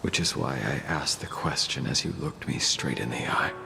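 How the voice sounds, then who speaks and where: A man playing a grim, tormented immortal swordsman in a video game, brooding and deliberate, bitter and haunted. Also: a bass voice, gravelly, music in the background. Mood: anguished. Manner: brooding, deliberate, heavy delivery. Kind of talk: dramatic video game voice acting.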